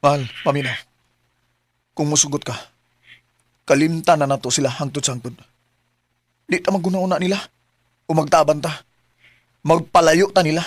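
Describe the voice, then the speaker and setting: A man's voice: a little high, steady tone, normal speed, long pauses, no room sound, close to an everyday voice. A man acting an aggrieved, earnest son in a Filipino radio drama, with a Cebuano accent and melodramatic delivery. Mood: pleading, resolute. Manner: emotional, melodramatic. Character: hot-tempered adult son in love, aggrieved, earnest.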